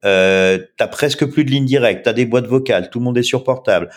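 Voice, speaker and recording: A man's voice: medium pitch, brisk. A man in his fifties, a startup founder answering interview questions in a casual, rambling way with many filler sounds. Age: 50s.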